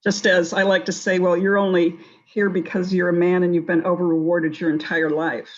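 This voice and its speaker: A woman's voice: fairly low pitch, level tone, normal speed, muffled recording. A woman giving advice on a discussion panel in an assertive, wry and humorous way. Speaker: round table panellist.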